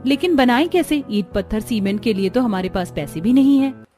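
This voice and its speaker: Woman playing an earnest, high-pitched village housewife. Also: lively intonation, fairly quick, mellow, soft background music, close to an everyday voice. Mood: problem-solving. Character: village women, mother-in-law and daughters-in-law, earnest and practical. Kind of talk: scripted cartoon story dialogue.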